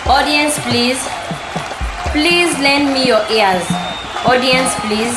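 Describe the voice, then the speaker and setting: A woman's voice: sits high, slow pace, a loud crowd. A woman with a Sierra Leonean accent playing a proud, determined chieftaincy candidate, giving an emphatic speech in an amateur audio drama. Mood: commanding greeting. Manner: declamatory, emphatic oratory. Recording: roomy echo with music underneath.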